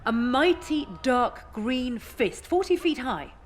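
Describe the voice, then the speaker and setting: A woman playing an intense, awed describer of monumental art in an audio drama, with measured, emphatic phrasing. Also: high-pitched, animated, a little hiss or hum.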